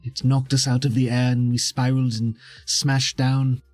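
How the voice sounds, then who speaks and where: A man's voice: mid-range voice, little pitch movement, normal speed, a little mellow, no echo, soft background music, natural voice. A man voicing an injured, frail villain in a tabletop game, hesitant and oily in his politeness. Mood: resigned, evasive. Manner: hesitant, obsequious, overly polite.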